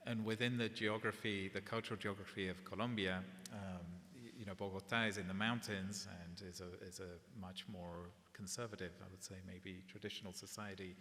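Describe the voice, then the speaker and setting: Deep-voiced man in an echoey hall. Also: normal speed, few pauses. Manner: relaxed, conversational, tag questions. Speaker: curator. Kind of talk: public gallery conversation. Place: gallery event space before an audience. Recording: echoey hall, faint hiss.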